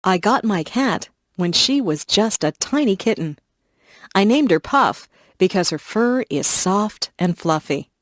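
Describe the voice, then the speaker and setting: A woman reading short scripted passages for English learners in a slow, clear, evenly paced voice. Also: mid-range voice, lively intonation, dry recording, studio quality. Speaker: English lesson reader. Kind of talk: scripted narration of simple passages.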